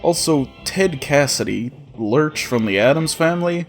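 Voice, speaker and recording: A man's voice: a little high, animated, a little slow, soft background music. A man narrating a scripted comedic cartoon review as the host, with a sarcastic, profane delivery.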